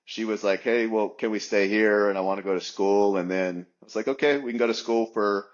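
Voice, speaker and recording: A man in his forties with a fairly low voice answers interview questions in a loose, rambling way, full of ums and restarts. The audio is muffled and lo-fi. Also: fairly even intonation, fairly quick. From United States. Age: 40s. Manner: rambling, many fillers and restarts. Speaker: guest. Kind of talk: casual interview talk.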